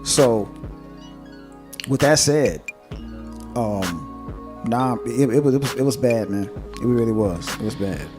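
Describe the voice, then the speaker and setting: A man's voice: mid-range voice, lively intonation, slow, long pauses, over music. A man co-hosting a podcast in casual, unscripted chat, colloquial and often repeating his phrases. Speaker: podcast co-host.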